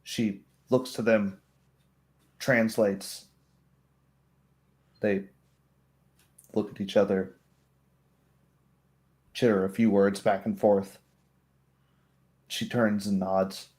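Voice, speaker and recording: A man narrating a tabletop game as game master, describing scenes in a halting, improvised way. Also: lowish voice, little pitch movement, darkish tone.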